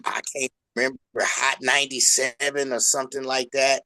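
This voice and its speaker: A man's voice: medium pitch, monotone, moderate pace, pushed and bright. A man in casual conversation, speaking in an informal, colloquial way. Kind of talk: casual chat.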